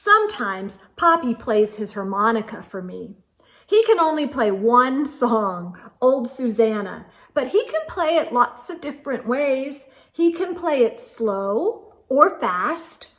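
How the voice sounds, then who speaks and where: Slow, lively woman reading a picture book. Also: sits high. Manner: gentle, expressive read-aloud with soft asides. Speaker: children's storyteller.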